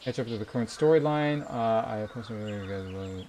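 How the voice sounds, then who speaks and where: A man's voice: fairly low pitch, fairly quick, a little mellow, slight background noise. A man live streaming a game, chatting casually with viewers in a rambling, polite way as he thinks aloud. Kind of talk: casual chat while streaming a game, reading viewer messages. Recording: music behind the voice.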